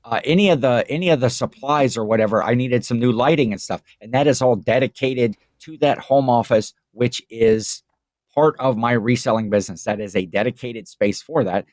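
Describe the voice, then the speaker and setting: A man's voice: mid-range voice, moderate pace, darkish tone, no echo, clean studio sound. A man co-hosting a podcast, chatting casually and rambling with many fillers and restarts. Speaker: podcast co-host. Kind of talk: casual chat.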